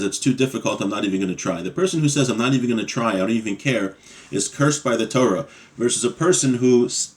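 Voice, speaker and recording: A man teaching a class on a religious text, reading passages aloud and then explaining them plainly, with some ums and restarts. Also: mid-range voice.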